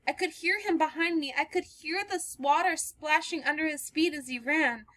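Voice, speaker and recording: A woman's voice: sits high, normal speed, bright, forceful tone, no room sound, voice pushed up. A woman voicing a terrified young woman who has fled a killer, breathless and pleading as she reads a play aloud.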